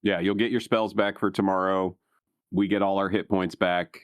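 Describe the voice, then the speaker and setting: A man's voice: low-pitched, level tone, moderate pace, clear, resonant tone, no echo, studio quality. A man chatting casually as a player in a tabletop game, swearing freely.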